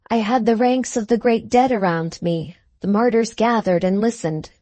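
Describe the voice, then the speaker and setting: A woman's voice: medium pitch, darkish tone, no room sound, close to an everyday voice. A woman voicing a fervent, visionary male scholar in an audiobook, speaking in solemn, lofty oratory. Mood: sad, solemn. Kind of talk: literary audiobook character reading.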